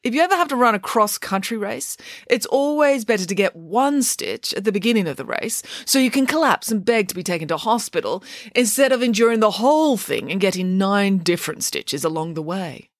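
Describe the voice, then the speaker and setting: A woman voicing an excitable, opinionated pig nanny in a children's story, with emphatic theatrical declarations. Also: medium pitch, brisk, no echo, clean studio sound, pitched above the performer's own voice, close to an everyday voice.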